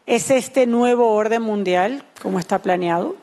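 A woman's voice: mid-range voice, moderate pace, mellow. A woman interpreting a sermon line by line, earnest and fluent.

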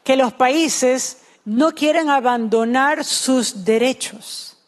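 A woman's voice: high voice, slow. A woman interpreting a sermon, delivering it consecutively in short phrases.

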